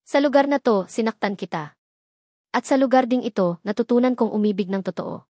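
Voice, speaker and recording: A woman's voice: a little high, fairly quick, warm tone, no room sound, natural voice. Devoted young millionaire lover in an audio romance, read by a woman, earnest, romantic, dry close sound. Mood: emotional, confessing. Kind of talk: audio romance story dialogue.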